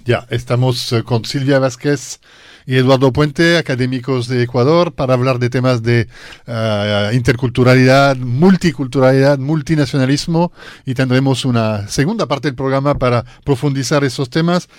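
A man hosting a talk programme, guiding the guests with brisk questions. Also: medium pitch, steady tone, dry recording, clean studio sound. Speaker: radio host.

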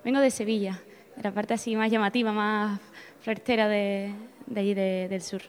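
A woman's voice: medium pitch, little pitch movement, normal speed, smooth. A young woman, answering interview questions in a friendly, slightly hesitant way. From Spain. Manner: friendly, a bit hesitant, repeats words. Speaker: exchange student guest.

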